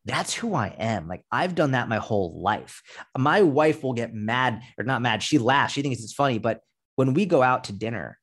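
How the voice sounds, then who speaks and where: A man's voice: medium pitch, lively intonation, moderate pace, fairly bright tone. Emphatic, sweary man explaining things casually. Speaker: fitness coach and content creator, interview guest. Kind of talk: casual podcast conversation.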